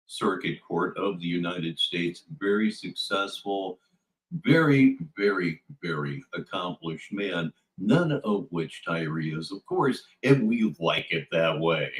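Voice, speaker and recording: A man giving mocking commentary like a showman, theatrical, sarcastic and deliberate. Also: low-pitched, low-quality recording.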